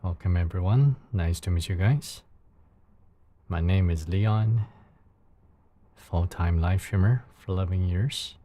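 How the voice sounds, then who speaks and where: A man from China with a Chinese accent, a Mandarin speaker talking in English, chatting casually and haltingly with viewers on a live stream in a soft, soothing voice. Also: sits low. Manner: unhurried, halting, with fillers and restarts.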